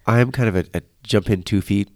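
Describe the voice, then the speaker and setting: A man's voice: on the low side, fairly quick, dry recording, clean studio sound. A man telling informal anecdotes as a guest in a casual interview. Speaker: interview guest, concert organizer. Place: church, live broadcast.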